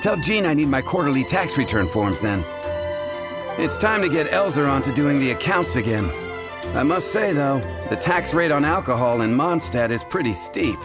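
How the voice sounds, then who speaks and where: Cast: a man voicing a wry bartender, mid-pitched and blunt, with game music under him. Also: lively intonation, normal speed, close to an everyday voice. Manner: teasing, wry, matter-of-fact. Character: adult male tavern bartender, wry and blunt. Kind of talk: game voice acting. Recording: game audio with music behind.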